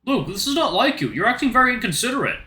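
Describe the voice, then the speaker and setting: A man's voice: very high-pitched, sing-song, fast talker, pushed and bright, roomy sound, pitched above the performer's own voice, exaggerated voice. A man voicing a worried, friendly male canine companion who talks in a soothing, coaxing way. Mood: pleading.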